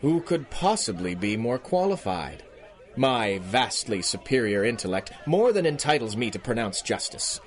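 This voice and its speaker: Actor as pompous villain judge, mid-pitched. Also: male voice, sing-song, normal speed, lightly acted. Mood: smugly menacing. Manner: pompous, imperious. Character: arrogant, vindictive male criminal genius acting as judge. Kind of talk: 1930s radio serial acting.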